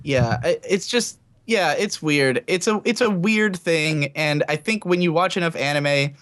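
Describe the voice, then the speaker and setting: A man's voice: on the high side, a little slow, a bit bright. Rambling man on a podcast. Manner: rambling, many restarts and "like" fillers, laughs often. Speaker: podcast co-host. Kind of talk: casual group podcast chat.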